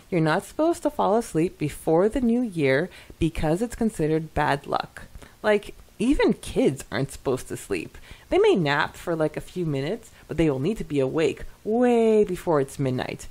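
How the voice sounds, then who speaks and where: Lower-pitched woman, casual podcast chat. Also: warm tone. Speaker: podcast co-host. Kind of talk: casual podcast chat mixed with reading listener comments aloud.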